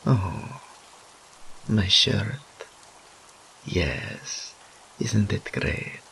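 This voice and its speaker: A man's voice: fairly low pitch, animated, very slow, vocal fry, a bit bright, a little hiss or hum, exaggerated voice, rough recording. A man voicing a teasing, smug and doting incubus demon in roleplay audio, with a theatrical purring drawl and whispered asides. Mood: flirtatious.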